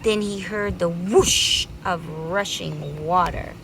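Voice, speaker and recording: A woman's voice: fairly low pitch, slow pace, noisy background. A woman reading a children's story aloud expressively, drawing out words dramatically for young listeners.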